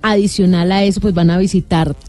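A woman's voice: fairly low pitch, fairly even intonation, fairly quick, dark tone. A woman hosting a radio show, chatting in an upbeat, promotional way from a studio. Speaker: radio host. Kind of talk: casual radio chat.

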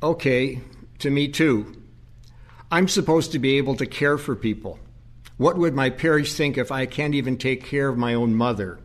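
A man's voice: mid-range voice, normal speed, long reverb tail, natural voice. A man acting in an audio play as a stressed, pleading priest who cares for his confused mother. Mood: defensive. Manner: earnest, burdened, pleading.

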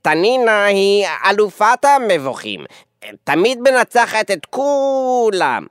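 A man's voice: high voice, sing-song, clear, resonant tone, no room sound, clean studio sound, voice pushed up. A man voicing a meek, sleepy, easily offended male cat in a whiny, timid, grumbling way. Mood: meek, helpful.

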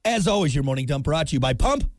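A young man with a gravelly, scratchy voice, co-hosting a morning radio show in casual, joking banter and laughing often. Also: on the high side, quick pace, music in the background. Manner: jokey, animated, laughs often.

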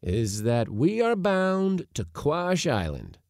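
A man's voice: medium pitch, unhurried, vocal fry, pushed and bright, no room sound, clean studio sound, voice pushed up, exaggerated voice. A man voicing an impatient, bossy elderly uncle in a storybook reading, with a blustering, pompous, exclamatory manner. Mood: determined.

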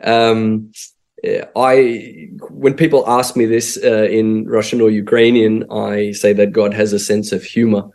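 A man's voice: on the low side, flat delivery, unhurried, darkish tone. A middle-aged Australian man, a missionary pastor, telling his life story in an interview with many fillers and restarts. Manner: hesitant, full of fillers and restarts.

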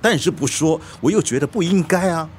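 A man's voice: on the high side, brisk, background noise, lightly acted. A male actor in a radio drama playing a deferential, meddling, worried manservant, hesitant and fussy. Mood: curious, hesitant.

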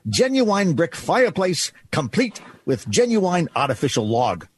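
An actor playing a helpful, scheming department store employee in an old-time radio drama, with folksy, persuasive patter. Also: male voice, fairly high pitch, big pitch swings, measured pace, a little hiss or hum.

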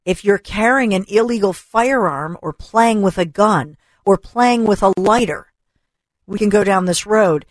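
A talk radio host, a woman, delivering an opinionated rant full of rhetorical questions and repetition, her voice going hoarse. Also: medium pitch, measured pace, no echo. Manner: emphatic, rhetorical questions, repeats for stress. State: losing her voice. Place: radio studio. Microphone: studio microphone.

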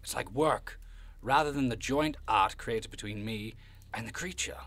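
A man's voice: mid-range voice, moderate pace, a bit bright, natural voice. A man playing a proud, refined old monster in an audio drama, speaking formally and with condescension. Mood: savouring, macabre. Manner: formal, condescending, stern when offended, savours words. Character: proud, refined old cryptid creature, macabre bone-carving artist.

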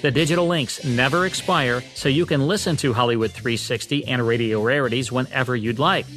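A man's voice: medium pitch, brisk, a bit bright. A man with an American accent reading a scripted promotion as a radio show host. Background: music.

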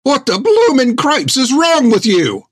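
A man voicing a hot-tempered, injured male sailor who yells in panic. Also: extremely high pitch, huge pitch swings, a little slow, husky, no echo, clean studio sound, pitched above the performer's own voice, full character voice. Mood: furious. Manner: yelling, panicky.

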